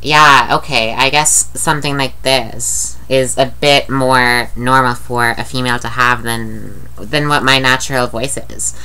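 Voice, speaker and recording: A woman's voice: a bass voice, fairly even intonation, measured pace, few pauses, pushed and bright, clear, resonant tone, poor audio. A woman with a nasal voice, talking in a rambling, candid video diary and swearing casually. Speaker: vlogger.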